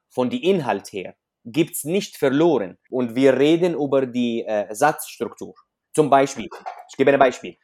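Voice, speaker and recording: A man's voice: medium pitch, a little mellow. A man from Syria, a native Arabic speaker with an Arabic accent, talking as an author in an interview and often searching for words in a language he learned later. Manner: hesitant, searching for words in non-native German, explains with examples. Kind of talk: conversational interview.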